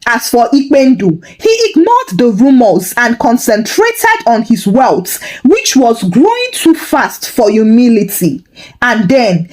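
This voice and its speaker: Animated woman folktale storyteller. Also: on the high side, slow, poor audio. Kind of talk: scripted dramatic folktale narration.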